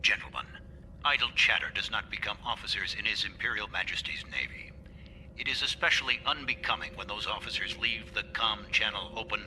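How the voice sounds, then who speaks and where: A cold alien commander in a space opera audio drama. He speaks formally with icy authority in a lowish voice, over clearly audible ship noise. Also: expressive, brisk, sharp tone, low-quality recording. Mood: cold, reproving.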